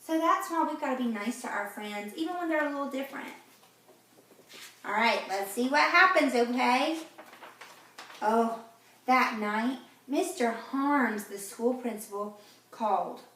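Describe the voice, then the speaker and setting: A woman reading a picture book aloud to children in a gentle, teacherly way, pausing to ask them questions. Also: on the high side, far from the mic, slight background noise. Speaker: read-aloud host for children. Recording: very echoey room, off-mic.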